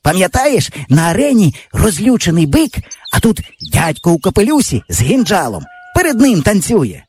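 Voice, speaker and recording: Guy reading out a cocky village kid, pitched way up, all excited and bossy, kinda husky. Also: moderate pace, exaggerated voice. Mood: eager. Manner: eager, excited, persuasive. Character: boastful, inventive village boy, about twelve. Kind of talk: audiobook character voice.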